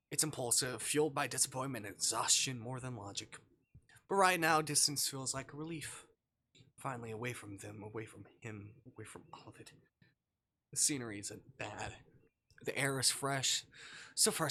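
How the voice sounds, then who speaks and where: Guy streamer playing a visual novel, super animated voice, bright, jokes around while reading stuff out. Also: mid-range voice, slight background noise. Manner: playful, jokey, expressive. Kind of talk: livestream chat mixed with reading game text aloud.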